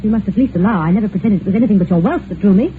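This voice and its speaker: A woman's voice: mid-range voice, rapid-fire, lightly acted. Casting: woman playing genteel young British wife, wistful yet cutting, vintage radio drama sound, thin band with faint hiss. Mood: bitter, resigned. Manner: genteel, poised, archly ironic. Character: proud, unhappy young wife of a rich husband, wistful and cutting. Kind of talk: old-time radio drama acting. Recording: tinny, noisy old radio recording.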